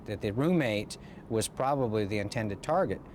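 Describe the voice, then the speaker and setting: A man's voice: lowish voice, expressive, moderate pace, a little mellow, a little hiss or hum. A man with an American accent, a police lieutenant explaining a case in an interview.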